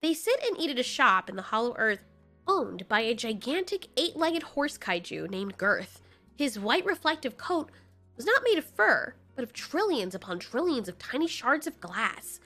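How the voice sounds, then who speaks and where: A woman narrates a scripted audio drama with a storyteller's sense of drama. Her delivery is animated and bright, with faint music behind her. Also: on the high side, normal speed.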